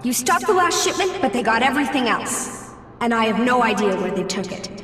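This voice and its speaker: A woman's voice: fairly high pitch, big pitch swings, fairly quick, a bit bright. An actress playing a dry, knowing, matter-of-fact female ally in a video game. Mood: wry, concerned. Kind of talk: video game cutscene acting. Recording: distant, echoey hall, faint noise.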